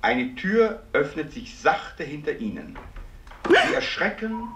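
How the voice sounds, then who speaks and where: An actor playing a lecturer on the radio, speaking in a measured, theatrical way in a 1950s radio drama. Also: male voice, on the high side, wildly swooping pitch, heavy background noise, heavily acted. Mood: ominous, instructive. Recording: echoey, distant radio broadcast.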